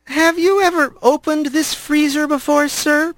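A man voicing a nervous, quick-witted young male rat who speaks hesitantly and politely. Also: very high-pitched, steady tone, a bit bright, dry recording, voice pushed up. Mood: careful.

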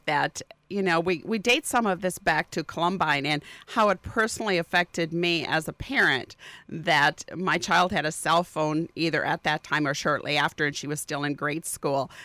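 Bright-voiced, earnest middle-aged woman. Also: fairly low pitch, dry recording. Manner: earnest, formal wording. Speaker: parent representative, interview guest. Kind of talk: unscripted radio panel discussion.

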